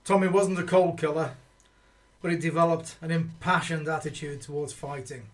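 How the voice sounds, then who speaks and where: Man playing worried soldier talking about his mate, high voice, radio drama narration. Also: fairly even intonation, moderate pace, lightly acted. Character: worried male soldier recalling a troubled comrade.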